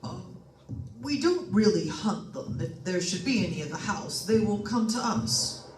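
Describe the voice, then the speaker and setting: A woman's voice: medium pitch, animated, measured pace, natural voice. Distant roomy sound, faint noise, woman playing learned paranormal doctor, earnest, pedantic, radio drama. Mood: solemn, correcting. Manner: formal, solemn, pedantic. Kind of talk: old-time radio drama acting. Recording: echoey room, distant mic, faint noise.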